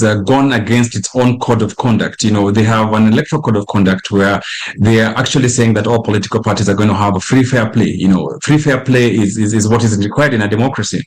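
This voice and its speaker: Forceful Kenyan man, restarts a lot. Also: fairly low pitch, a little fast, low-quality recording. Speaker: political activist guest. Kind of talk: news interview.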